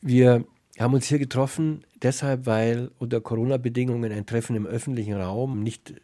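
A man with a husky voice presenting and interviewing for a cultural radio programme. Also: mid-range voice, fairly even intonation, a little fast, mellow.

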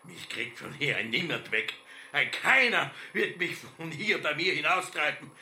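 An old Austrian farmer father, dying yet defiant and obsessed. His high voice is very tense and sharp, declaiming grandly as in a radio play. It sits in an echoing room with faint noise behind. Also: sing-song, normal speed, heavily acted. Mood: defiant, exultant. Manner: declamatory, stubborn, exultant. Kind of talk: literary radio drama acting.

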